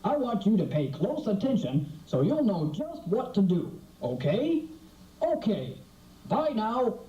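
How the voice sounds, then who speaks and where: A woman playing a friendly puppet host who explains things to children in a singsong, animated way, on an old VHS recording. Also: medium pitch, measured pace. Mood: friendly explanatory. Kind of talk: scripted puppet show narration for children. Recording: muffled, echoey old VHS, distant, faint music.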